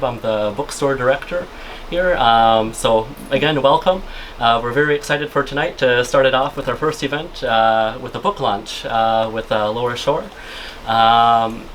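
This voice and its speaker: A man directing a bookstore, opening a book event with halting, filler-heavy welcome remarks. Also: medium pitch, a bit bright, slight background noise, rough recording.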